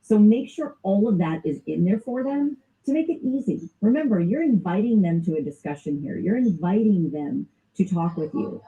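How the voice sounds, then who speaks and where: A woman's voice: medium pitch, expressive, moderate pace, few pauses, dark tone. A woman with a Southern US accent giving a casual, informal sales training lesson and laughing often. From American South. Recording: dull lo-fi recording.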